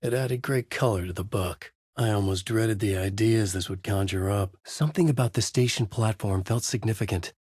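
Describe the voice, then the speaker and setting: A man playing a brooding, haunted novelist in a video game, narrating his horror story in a grave, measured, dramatic way with a husky voice. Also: on the low side, darkish tone, dry recording, clean studio sound. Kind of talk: scripted noir horror narration, inner monologue.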